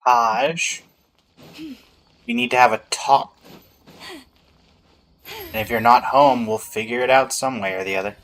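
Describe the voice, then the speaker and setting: A man's voice: mid-range voice, unhurried, pauses often, a bit bright, slight background noise. Terse male gamer commentating. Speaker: gamer playing through a story quest. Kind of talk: casual gameplay commentary.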